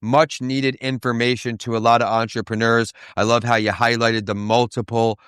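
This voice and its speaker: A man hosting an interview podcast, upbeat and full of praise, sometimes slowing down for emphasis. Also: mid-range voice, little pitch movement, fairly bright tone, smooth, dry recording, studio quality. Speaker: podcast host.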